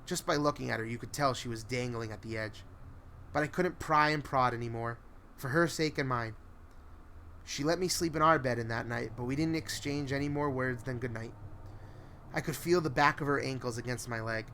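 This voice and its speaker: A man's voice: mid-range voice, normal speed, bright, forceful tone. Brooding male horror narrator, noisy backdrop. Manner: emotive, brooding. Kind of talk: dramatic first-person horror story narration.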